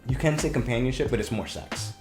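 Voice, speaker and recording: A man, a breakup coach, talking casually on a podcast, telling stories with lots of restarts and quick laughs. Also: medium pitch, echoey room, music in the background. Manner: animated storyteller, laughs often, rambling restarts.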